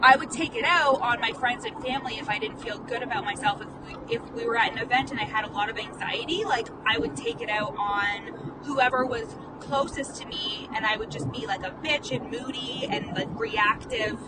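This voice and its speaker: A woman in her late twenties with a stutter, talking through a candid, rambling vlog monologue full of "like" fillers and the occasional swear. Her voice sits a little high. The mic is set back from her in the car, and steady road noise is clearly heard. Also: moderate pace, few pauses. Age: late 20s. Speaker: life coach and podcast host. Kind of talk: casual vlog monologue while driving. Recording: distant phone mic in a noisy car.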